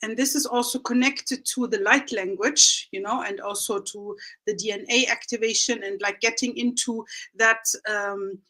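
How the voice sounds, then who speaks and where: Bright, highish-voiced woman in her late forties. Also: steady tone, normal speed, hardly any pauses, rough recording. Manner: rambling, searching for words, emphatic repetition. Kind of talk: casual reflective spiritual chat.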